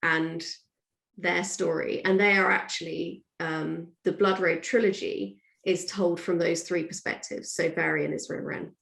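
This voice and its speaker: A woman's voice: fairly low pitch, steady tone, a little slow, gravelly, fairly bright tone. A woman author being interviewed, chatting casually and thinking aloud in a discursive way. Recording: roomy, echoey sound.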